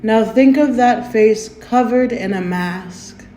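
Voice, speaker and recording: A woman leading a guided meditation in a gentle, soothing, unhurried way, softly inviting the listener to breathe. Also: a little high, a little hiss or hum. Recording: roomy echo with faint music.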